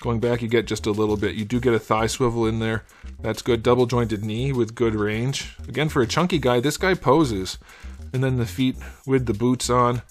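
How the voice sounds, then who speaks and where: A man with a hoarse, scratchy voice casually reviewing an action figure, thinking aloud with small fillers. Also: medium pitch, steady tone, normal speed. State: hoarse, losing his voice. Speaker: toy reviewer.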